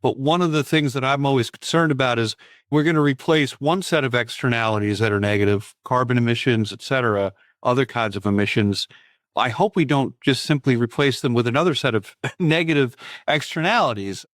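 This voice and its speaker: A man with a middle-pitched voice hosts a podcast interview. He speaks in a conversational, thoughtful, chatty way. The recording is dry and close-miked. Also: fairly quick, fairly bright tone, no echo. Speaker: podcast host.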